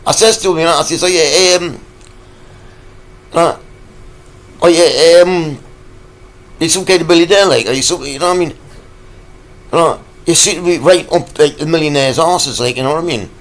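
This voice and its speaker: A man, croaky from a cold, ranting in a rambling, halting vlog full of fillers. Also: fairly high pitch. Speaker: vlogger.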